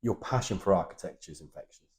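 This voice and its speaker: A man's voice: low voice, animated, fast talker, a little mellow. A man interviewing an architect, asking open questions in an admiring, encouraging way. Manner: admiring, encouraging, with many short affirmations.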